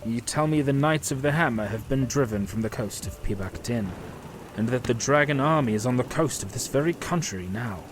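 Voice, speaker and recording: A man's voice: mid-range voice, animated, brisk, background noise, voice pushed up, lightly acted. A man voicing an earnest, weary half-elf quest leader with a grave, serious manner in a fantasy audio story.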